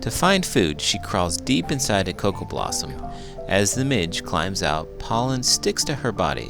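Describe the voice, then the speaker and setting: A man reading a children's book aloud as a teacher would, expressive and full of big emotion. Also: low voice, unhurried, gravelly, music in the background. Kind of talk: animated children's read-aloud narration.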